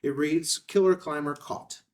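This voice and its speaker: A man's voice: on the high side, steady tone, a little slow. A man narrating a screenplay, reading out scene headings and stage directions at a table read. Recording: slightly distant from the mic.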